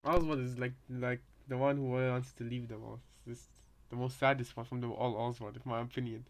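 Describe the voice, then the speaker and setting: A man with a mid-pitched voice reacts casually to what he hears. He talks in a halting, conversational way and circles back over his own phrases. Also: level tone, slight background noise.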